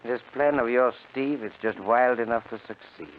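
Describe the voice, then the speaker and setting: An actor playing an erudite, cautious crook with a formal, wry way of talking in a 1950s radio drama. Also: male voice, medium pitch, moderate pace, close to an everyday voice. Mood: musing, wry. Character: erudite, cautious male crook nicknamed Doc, wryly genteel. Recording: tinny, noisy 1950 radio recording.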